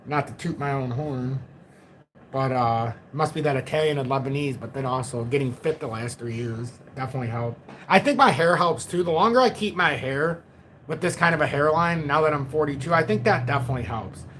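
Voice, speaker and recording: A man's voice: mid-range voice, a little fast, fairly bright tone, slight background noise. A man in his forties hosting a casual crypto livestream, walking through charts and reading out viewer comments, often repeating himself. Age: 40s.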